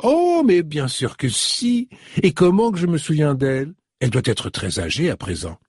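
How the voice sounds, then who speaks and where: A man voicing a lively, welcoming woman in her fifties in an audiobook. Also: a little high, moderate pace, breathy edge, no room sound, studio quality, pitched above the performer's own voice. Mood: chatty. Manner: effusive, welcoming.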